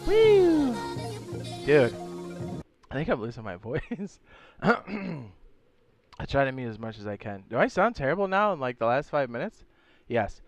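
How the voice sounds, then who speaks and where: Hoarse man on a casual game stream. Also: mid-range voice, huge pitch swings, measured pace, long pauses, soft background music. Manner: laid-back, slangy, frequent throat clearing. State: hoarse, sore throat, losing his voice. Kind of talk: casual live-stream chat, reading viewer comments.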